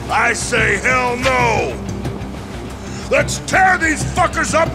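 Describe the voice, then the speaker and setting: A man's voice: very high voice, animated, takes it slowly, heavily acted. An actor playing an angry, foul-mouthed, middle-aged warehouse foreman in a video game, aggressive and bellowing. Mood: furious rallying. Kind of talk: dramatic video game voice acting. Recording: loud music behind the voice. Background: loud music.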